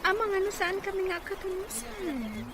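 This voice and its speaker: High, animated, scheming Filipina girlfriend. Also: moderate pace, exaggerated voice. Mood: sweet, coaxing. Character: young scheming girlfriend of a rich man. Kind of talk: Filipino radio drama acting. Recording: noisy radio drama with ambient sound.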